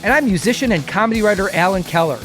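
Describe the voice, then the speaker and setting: A man's voice: high voice, expressive, rapid-fire, fairly bright tone, faint music behind. A man reading a scripted podcast promo in a punchy, upbeat way. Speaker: podcast co-host in an ad.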